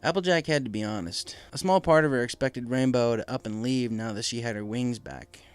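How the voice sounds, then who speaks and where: A man's voice: mid-range voice, fairly quick, clean tone, no echo. A man reading scripted story narration as the narrator of a fan fiction audiobook. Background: music.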